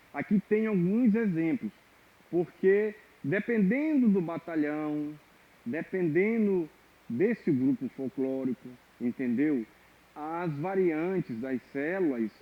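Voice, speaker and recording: Husky man teaching percussion, tinny. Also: a little high. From Maranhão, Brazil. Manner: explanatory, checks in with "tá?" and "ok?". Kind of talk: online music lesson. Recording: tinny phone-like audio.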